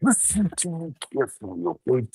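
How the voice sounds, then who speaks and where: A man voicing a brash macho pro-wrestler with a strained, gravelly rasp and emphatic, drawn-out, confrontational delivery. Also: a little high, sing-song, darkish tone, dry recording, exaggerated voice.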